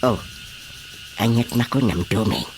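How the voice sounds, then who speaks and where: An actor playing an emphatic neighbour who airs family troubles with drawn-out delivery in a radio drama. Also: mid-range voice, expressive. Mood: lamenting. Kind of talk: Ilocano radio drama acting. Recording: faint background noise with crickets.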